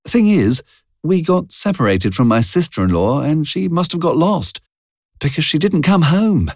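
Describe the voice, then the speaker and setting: A man's voice: fairly high pitch, animated, moderate pace, a little mellow, no echo, studio quality, voice pushed up. A man voicing an anxious, well-bred young woman who speaks in a prim, hesitant way. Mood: fretful.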